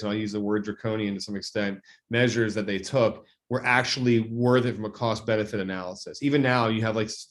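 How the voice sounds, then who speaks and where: A man speaking as a state legislator guest, answering in a measured, deliberate way and often correcting himself. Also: lowish voice. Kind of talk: interview answers.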